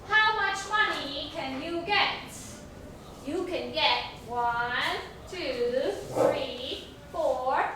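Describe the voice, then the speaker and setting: A woman teacher with a very high, bright voice addresses a class of children in simple, repeated phrases. She speaks slowly, and the room echoes heavily around her. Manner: simple, repetitive phrasing with questions to pupils. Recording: very echoey room, distant mic, faint noise.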